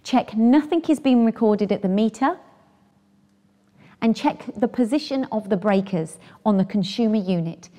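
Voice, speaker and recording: A woman's voice: medium pitch, normal speed, warm tone. A British woman in her thirties presenting scripted instructions for a training film.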